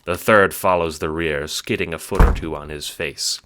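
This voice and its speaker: A man's voice: a bass voice, fairly even intonation, normal speed, background noise. A man narrating a scripted audio drama in second person, with a wry, dramatic storytelling manner.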